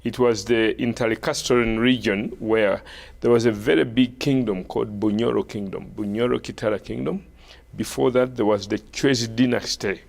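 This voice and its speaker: A middle-aged man from Uganda with a Ugandan accent, a bishop speaking as a guest in a measured, conversational way. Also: lowish voice, steady tone, gravelly, darkish tone. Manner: measured, frequent short affirmations. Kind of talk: conversational interview, recounting history.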